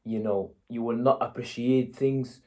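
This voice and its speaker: A young man from Egypt delivers a casual motivational talk in a warm, dark-toned voice. His pitch sits in an ordinary middle register. He speaks bluntly and conversationally, checking in with "yeah?" and "you know". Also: steady tone, a little slow. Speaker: motivational vlogger.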